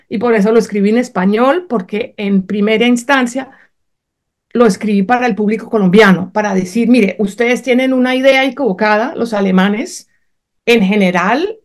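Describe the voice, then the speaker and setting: Hesitant German-native woman, slightly high. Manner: hesitant, many fillers and restarts. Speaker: author, interview guest. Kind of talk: conversational interview.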